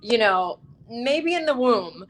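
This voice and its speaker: A woman author speaking as an interview guest, telling her story in an expressive, articulate and reflective way. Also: fairly high pitch, takes it slowly.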